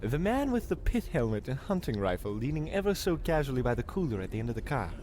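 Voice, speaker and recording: A man's voice: medium pitch, big pitch swings, fast talker, lightly acted. A man playing a pompous, fussy, self-important singing detective in an old-time radio comedy, with noise behind him. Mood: curious.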